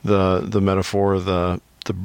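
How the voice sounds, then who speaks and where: A man's voice: deep voice, completely flat delivery, normal speed, vocal fry, clean tone, no room sound. A male podcast host talking casually and thoughtfully, meandering with fillers and repeats. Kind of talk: casual conversational interview.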